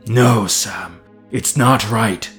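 A man voicing a hobbit gentleman, aggrieved and brooding, protesting injustice in a fantasy audio story. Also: on the low side, fairly even intonation, slow, soft background music. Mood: rising anger.

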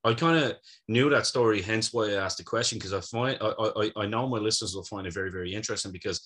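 A man's voice: on the low side, fast, a bit bright. A man hosting a podcast interview, chatty and eager, laughing often. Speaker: podcast host. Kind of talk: casual interview chat.

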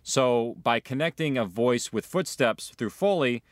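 A man's voice: medium pitch, lively intonation, measured pace, no room sound, clean studio sound. A man speaking as an audio drama producer, earnestly explaining the craft in a documentary interview. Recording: faint music underneath. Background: faint music.

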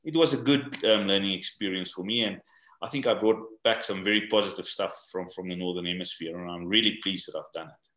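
An older man from South Africa, English not his first language, answering interview questions in a halting way and often repeating words. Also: low-pitched, sharp tone, roomy sound. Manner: halting, repeats words while searching for them. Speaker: guest, rugby coach. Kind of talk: podcast interview.

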